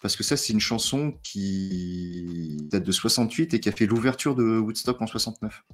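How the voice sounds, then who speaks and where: A low-voiced man explains song choices and actor trivia with a few stammers. Faint music runs under him. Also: normal speed. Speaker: podcast co-host. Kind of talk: casual chat reviewing a TV episode.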